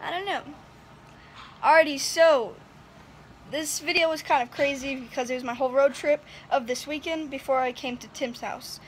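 A woman's voice: high-pitched, normal speed, slight background noise. A young woman vlogging casually about her trip, chatty and giggly, filmed on a phone at arm's length in a hotel room and a car. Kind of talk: casual travel vlog. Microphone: phone held at arm's length.

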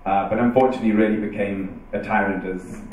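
A man in his thirties from Zimbabwe answers audience questions on stage in a relaxed, joking way, with many fillers. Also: on the low side, quick pace. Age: 30s. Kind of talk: casual talk and Q&A on stage. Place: large dark event hall with audience. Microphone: handheld mic on stage, heard from afar. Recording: echoey hall, distant mic.

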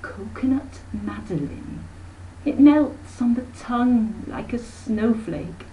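A woman's voice: a little high, animated, slow, mellow, recorded from a distance, slight background noise, pitched above the performer's own voice, poor audio. A woman voicing a breezy, self-important middle-aged aunt in a story reading, gushing and pompous. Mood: haughty, affronted. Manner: gushing, pompous, talks in long runs.

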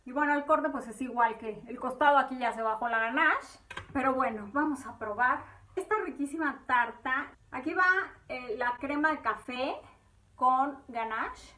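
A woman's voice: high-pitched, normal speed, bright, forceful tone. A woman, hosting a home cooking tutorial in her kitchen and chatting viewers through each step. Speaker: cooking channel host.

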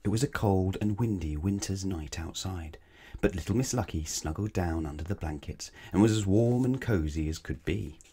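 A man's voice: low-pitched, normal speed, mellow, breathy edge. A man reading a children's bedtime story aloud, dramatically, stretching and stressing words. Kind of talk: expressive read-aloud bedtime narration.

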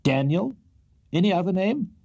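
A small, cantankerous old judge, played by a male reader, snapping his words out sharply in a raised, husky voice. His bark is quick and severe. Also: high voice, sing-song, a little mellow, pitched above the performer's own voice, heavily acted. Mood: irritable.

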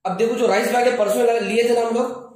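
A man's voice: extremely high pitch, fairly even intonation, a little fast, a crowd in the background. An Indian man in his thirties in casual domestic chat, questioning and teasingly scolding. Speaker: husband and father. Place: small tiled room indoors. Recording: very echoey room.

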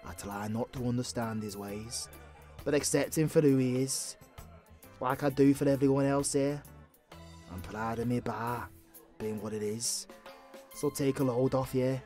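A British man playing a gruff but kindly old pub landlord, chatty, blunt and sweary, in a casual audio roleplay. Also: mid-range voice, steady tone, measured pace, pauses often, warm tone, faint music behind, close to an everyday voice. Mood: easygoing, reassuring.

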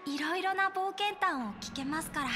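A woman's voice: extremely high pitch, normal speed, over music. A woman voicing a polite, eager young hotel bellboy in a Japanese video game, speaking courteously. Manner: courteous, formal service speech.

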